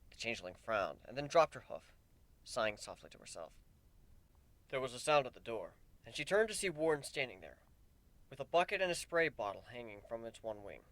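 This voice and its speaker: Guy narrating a story dramatically, very lively pitch, dry close recording. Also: no room sound. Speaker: narrator of fan fiction.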